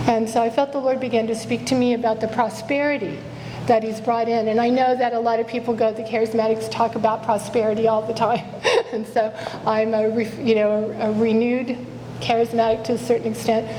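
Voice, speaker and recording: A quiet-voiced woman giving an earnest sermon and prayer as a guest speaker, often correcting herself. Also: a little high, flat delivery, a little fast, in a large hall, slight background noise, rough recording. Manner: earnest, repeats "Father" in prayer, self-correcting. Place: church sanctuary. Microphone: stage microphone.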